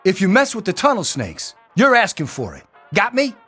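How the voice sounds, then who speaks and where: An actor playing a cocky teenage gang bully in a video game, taunting and swaggering. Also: male voice, high voice, huge pitch swings, normal speed, fairly bright tone, slightly rough, faint music behind, full character voice. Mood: threatening.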